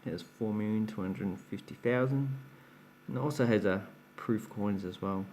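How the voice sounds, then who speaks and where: A man's voice: fairly low pitch, normal speed, a little mellow, slight background noise. A man hosting a casual coin-collecting vlog, chatty and explanatory, laughing at his own slips. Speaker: coin collecting channel host. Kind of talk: casual show-and-tell vlog.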